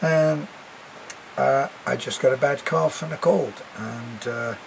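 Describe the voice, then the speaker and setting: A man's voice: medium pitch, takes it slowly, vocal fry, noisy background. An older British man with a cough and a congested voice, chatting casually to camera as an expat vlogger, rambling with many fillers and restarts. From Britain.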